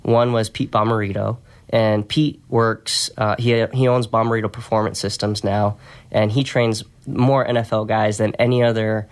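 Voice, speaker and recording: A soft-spoken man talking in an easygoing, reflective way as a podcast guest, telling stories about his work in a conversational interview. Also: on the low side, level tone, moderate pace. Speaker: podcast guest, strength and conditioning coach.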